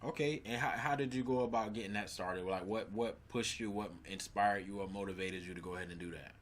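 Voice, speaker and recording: A man's voice: on the low side, a little fast, a little hiss or hum. A man interviewing a musician, asking loose questions padded with fillers. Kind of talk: casual interview.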